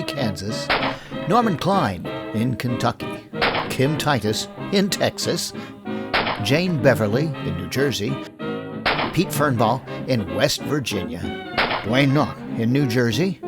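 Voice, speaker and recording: Lively male host over loud music. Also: mid-range voice. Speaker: host and director. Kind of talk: scripted outro announcement.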